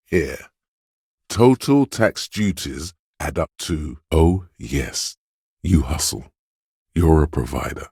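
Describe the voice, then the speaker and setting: A man's voice: a little mellow, no echo, studio quality, heavily acted. A man playing a smug, goading inner voice in a video game, suave and theatrical, savoring his words with dramatic emphasis. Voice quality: very deep, husky.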